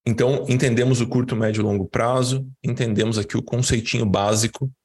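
A man's voice: medium pitch, fairly even intonation, brisk, darkish tone, husky. A man with a Brazilian accent giving an informal, didactic live lesson as a financial educator, repeating words for emphasis in a conversational way. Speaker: financial educator leading an online class.

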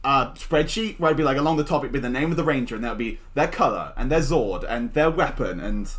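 A woman in her twenties co-hosts a podcast and jokes through the conversation with a lot of swearing. Her pitch swings widely while she talks. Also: fast. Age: 20s. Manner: joking, animated, swearing. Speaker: podcast co-host. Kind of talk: casual podcast chat.

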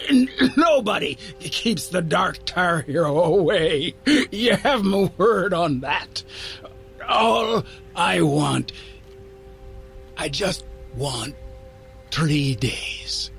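A man voicing a dying old man, rough and pained, who speaks bluntly and haltingly in a told story. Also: high voice, lively intonation, fairly bright tone, faint music behind, pitched above the performer's own voice, exaggerated voice. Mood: wry, pained. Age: older. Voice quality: rough, pained, rasping, coughing.

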